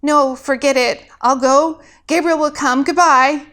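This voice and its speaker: Nervous young angel child, voiced by a woman, high-pitched. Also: steady tone, unhurried, a bit bright. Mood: flustered, rushed. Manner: hesitant, stammering. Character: nervous, eager twelve-year-old angel in training.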